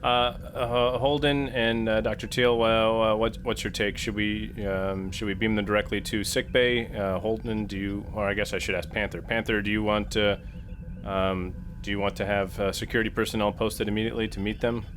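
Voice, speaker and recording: A man's voice: fairly low pitch, flat delivery, moderate pace, fairly bright tone. A man playing in a tabletop roleplaying game, talking casually and bantering with the group. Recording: faint background noise.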